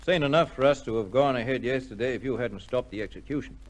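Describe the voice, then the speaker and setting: A man acting a prison governor in vintage radio drama. His voice sits at a middle pitch and is weighty and deliberate. He is courteous and grave, with a troubled, formal way of putting things. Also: natural voice. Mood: troubled, cautious.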